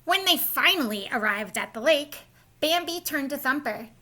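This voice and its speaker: High, bright-voiced woman reading to children. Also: moderate pace. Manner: expressive, explains to young listeners. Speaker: storybook reader, school staff. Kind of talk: children's read-aloud storytelling.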